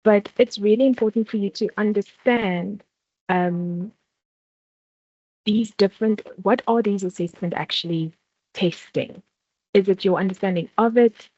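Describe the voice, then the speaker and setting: A woman's voice: mid-range voice, a little slow, darkish tone, no echo. South African woman, workshop presenter; patient explainer who restarts her phrases; dull, dry recording. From South Africa, Free State. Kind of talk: online exam-preparation workshop talk.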